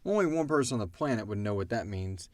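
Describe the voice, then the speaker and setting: Male podcast host, lower register, close dry sound, informal and animated storytelling. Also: fast talker, no room sound. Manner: animated retelling, acting out characters' lines. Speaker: podcast host and audio drama creator. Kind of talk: casual chat answering listener questions.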